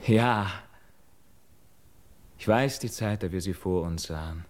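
Guilt-haunted young man, low voice, brooding, solemn measured declamation, classical verse radio play. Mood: wistful. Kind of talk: classical German verse drama, stage declamation.

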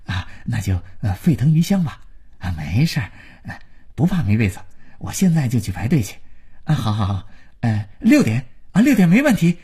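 A middle-aged man who fawns and stammers with eager politeness, as the male reader plays him. The voice is husky and breathy, and the pitch swings widely. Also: huge pitch swings, normal speed, warm tone, heavily acted. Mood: ingratiating. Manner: fawning on the phone, whispering slyly. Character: middle-aged man, ingratiating then sly.

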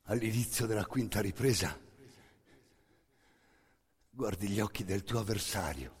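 A man performing an intense theatrical monologue, narrating in emphatic short phrases with a hoarse, gravelly voice. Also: fairly low pitch, expressive, a little slow. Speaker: stage actor narrating a monologue. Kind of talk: dramatic second-person theatrical narration.

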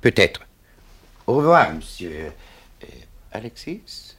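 An actor in a German radio drama playing a haughty, condescending marquis, mocking and menacing. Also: male voice, on the low side, sing-song, takes it slowly, fairly bright tone, breathy edge, heavily acted. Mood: cool, pressing. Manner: haughty, mocking, sprinkles French phrases. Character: condescending French marquis, smooth, menacing go-between.

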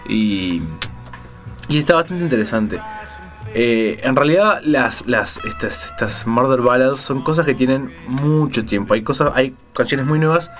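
A man's voice: medium pitch, lively intonation, measured pace. A man with a Rioplatense Spanish accent presents a music show, explaining things in a casual, digressive way as if thinking aloud.

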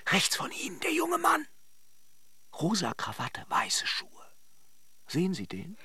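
An actor playing a chatty, flirtatious banker on holiday in a radio drama, playful and teasing. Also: male voice, sits high, wildly swooping pitch, slow, pushed and bright, breathy edge, no echo, whispered, full character voice. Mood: conspiratorial gossip. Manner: playful, gossipy, teasing self-deprecation. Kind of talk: literary radio drama acting and narration.

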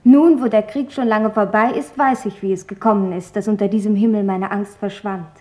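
An actress voicing an earnest, thoughtful young girl who recounts wartime fear in a deliberate radio play reading. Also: mid-range voice, fairly even intonation, dark tone, lightly acted. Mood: reflective, calm. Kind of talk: dramatic literary reading in German radio play.

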